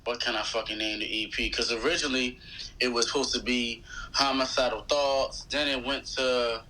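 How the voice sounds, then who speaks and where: A man with a Philadelphia accent and a bright, punchy voice at a middle pitch. He chats casually as an interview guest, telling stories in loose slang and quoting conversations. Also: moderate pace, poor audio. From West Philadelphia, USA. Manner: colloquial, slangy, animated retelling with quoted dialogue. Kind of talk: casual chat, storytelling.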